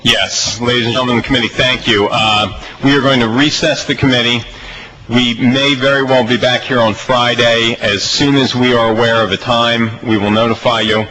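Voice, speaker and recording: A man's voice: medium pitch, fairly even intonation, moderate pace, sharp tone, slight background noise, low-quality recording. An American man presiding as chair over a legislative committee hearing.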